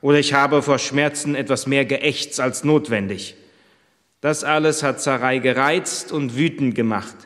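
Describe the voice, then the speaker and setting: A man's voice: medium pitch, fairly even intonation, measured pace, a bit bright, big-room sound, close to an everyday voice. A man voicing a desperate pregnant servant woman. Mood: aggrieved. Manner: heartfelt first-person storytelling.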